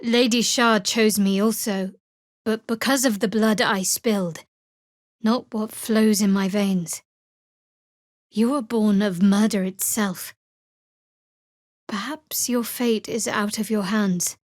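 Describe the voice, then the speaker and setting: An actress playing a guarded young cleric of a dark goddess in a video game, with a dry, sardonic, clipped manner. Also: female voice, medium pitch, steady tone, takes it slowly, pauses often, no echo, lightly acted. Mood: solemn, guarded. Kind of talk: video game character voice lines.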